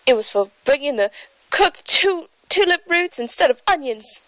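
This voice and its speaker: A woman's voice: high voice, expressive, normal speed, fairly bright tone, no room sound, voice pushed up, poor audio. A woman voicing a sulky male playing-card gardener in a put-on British accent, bickering with a petulant edge. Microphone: phone held at arm's length.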